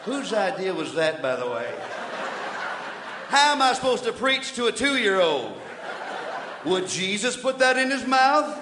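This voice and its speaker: High-pitched blustering preacher played by a man, echoey hall. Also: big pitch swings, unhurried, pauses often. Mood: grumbling. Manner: emphatic pulpit delivery, swinging from muttered asides to hollering. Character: blustering, indignant old-fashioned revival preacher, male. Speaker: comic preacher on a variety stage show. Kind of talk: comic mock sermon to a live audience. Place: large indoor theatre stage before an audience. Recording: echoey hall, live stage, audience noise.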